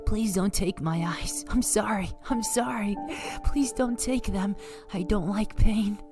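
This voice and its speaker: Woman voicing a fragile, slow-spoken frightened boy. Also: medium pitch, lightly acted. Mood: terrified pleading. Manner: halting, timid, pleading. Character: frightened abused young boy, fragile and pleading. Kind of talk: dramatic manga dub acting. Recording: echoey, music behind the voice.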